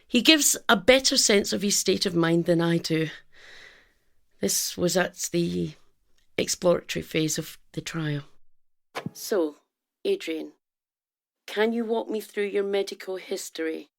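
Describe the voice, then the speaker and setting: An actress playing an earnest female doctor in a radio drama, speaking in a measured, slightly hesitant clinical manner. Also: on the low side, creaky. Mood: clinical, probing. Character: earnest, careful female doctor presenting a case study. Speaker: actor in a radio play.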